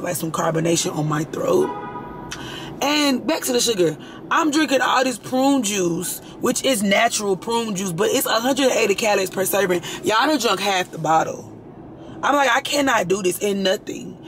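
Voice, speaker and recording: Raspy young woman vlogging, kinda hoarse, rambles and swears casually, light background noise. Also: on the low side. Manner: chatty, rambling, frank, swears freely. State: hoarse, itchy throat. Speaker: lifestyle vlogger. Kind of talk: casual chat to camera.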